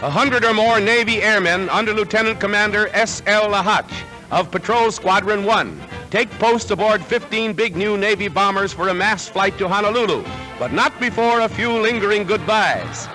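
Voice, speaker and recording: Old 1940s newsreel guy, american, high voice, punchy dramatic delivery, music behind him, vintage film sound. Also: moderate pace, sharp tone, low-quality recording. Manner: dramatic, punchy announcer delivery with jaunty wordplay. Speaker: newsreel narrator. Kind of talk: 1940s newsreel narration, scripted.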